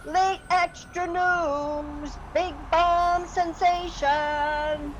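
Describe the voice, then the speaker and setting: Young news hawker: very high, very slow calling delivery, radio drama. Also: female voice, level tone, slight background noise, exaggerated voice. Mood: hawking. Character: young street newspaper seller crying headlines. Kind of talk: radio play acting, street hawking.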